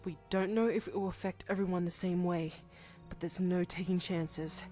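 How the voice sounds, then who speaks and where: A woman's voice: lowish voice, fairly even intonation, moderate pace, warm tone, faint music behind, close to an everyday voice. A woman playing a grave, anxious scientist giving reports in an audio drama. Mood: grim.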